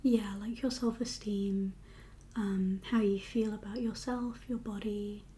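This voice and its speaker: A woman speaking in a soft, breathy whisper with a calm, reassuring, unhurried manner, as a doctor in an ASMR medical roleplay. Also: medium pitch, slight background noise. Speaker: ASMR performer playing a doctor.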